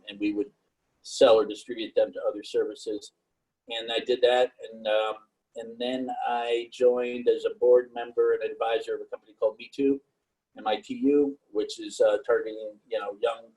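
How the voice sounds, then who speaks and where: Halting, flat-voiced middle-aged man. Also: medium pitch, breathy edge, low-quality recording. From New York. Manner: monotone, halting with many fillers. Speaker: panelist. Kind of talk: casual career talk on a video call.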